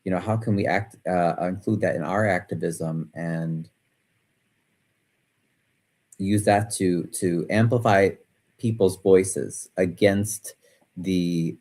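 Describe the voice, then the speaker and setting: Male host, rambling casual talker, lower voice, reacts a lot. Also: darkish tone, slightly rough. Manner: rambling, reactive, many fillers. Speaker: podcast host. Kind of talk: casual chat.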